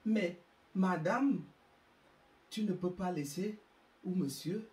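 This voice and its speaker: A woman's voice: fairly low pitch, a little mellow, reverberant, far from the mic. A woman with an African French accent hosting her own channel, delivering an emphatic, moralizing monologue. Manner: slow, emphatic, preachy. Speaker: YouTube channel host.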